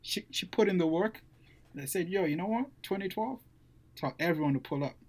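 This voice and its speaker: A man's voice: sits high, lively intonation, fairly quick, darkish tone. Young man from Zimbabwe, slangy and casual. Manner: casual slang, addresses friends as bro. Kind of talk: casual storytelling chat.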